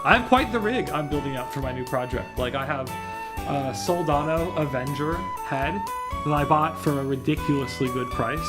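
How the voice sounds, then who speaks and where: A man livestreaming a visual novel readalong, chatting casually and thinking aloud in a rambling way. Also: medium pitch. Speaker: streamer reading visual novels.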